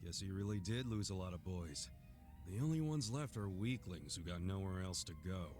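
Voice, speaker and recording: An actor playing an earnest, hot-headed and loyal brawler in a video game dub, speaking bluntly in streetwise, informal style. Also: male voice, deep voice, animated, normal speed, a little mellow, faint music behind. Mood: suspicious, blunt.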